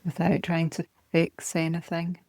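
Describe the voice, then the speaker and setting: Low, creaky-voiced woman guiding meditation. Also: no echo. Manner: calm, gentle, measured, with short phrases. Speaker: meditation teacher. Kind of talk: guided body scan meditation.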